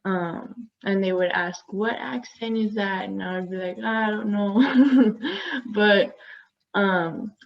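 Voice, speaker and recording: A young woman from the United States with an Armenian-American accent, speaking earnestly on a student panel, laughing often and pausing with ums. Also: medium pitch, gravelly, dull audio. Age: young adult. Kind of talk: panel discussion.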